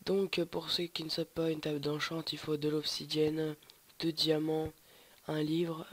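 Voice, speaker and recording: A man's voice: a little high, completely flat delivery, normal speed. A young man with a cold, rambling through casual gameplay commentary with lots of hesitations. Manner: rambling, hesitant, many fillers and restarts. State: stuffy with a cold. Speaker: gamer. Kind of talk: casual let's play commentary. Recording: loud background noise, home mic.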